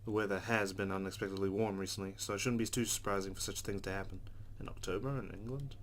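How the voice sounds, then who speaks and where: Man, low voice, let's play reader, narration with casual asides, noisy game ambience. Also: fast talker. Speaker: let's play host reading game text aloud. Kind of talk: reading visual novel narration with casual reactions. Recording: steady loud background noise.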